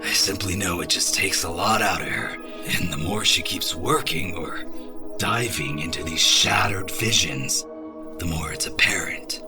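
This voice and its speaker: A man's voice: low voice, a little slow, music in the background. A man playing a worried, weary companion of a fragile seer in an audio drama, earnest and sighing. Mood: weary, confiding.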